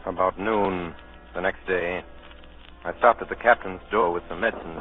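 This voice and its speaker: A deep-voiced, grave storyteller, played by a man narrates a vintage radio adventure. His delivery is measured. The recording is narrow with faint noise. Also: steady tone, close to an everyday voice. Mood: grave, suspenseful. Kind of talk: 1930s radio drama narration. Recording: thin, noisy old radio recording.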